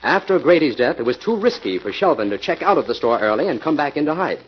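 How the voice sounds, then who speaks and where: An actor with an American accent playing a confident, analytical crime-solver in a 1940s radio drama, speaking in an assured, explanatory way. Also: male voice, a little high, big pitch swings, fast talker, breathy edge. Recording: dull 1940s radio recording.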